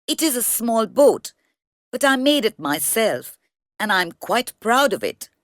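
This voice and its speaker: A woman's voice: a little high, sing-song, a little slow, a bit bright, no echo, clean studio sound. A woman voicing an eager, humble young boat boy in a children's storybook, polite and earnest. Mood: humbly proud. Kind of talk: children's storybook read-aloud.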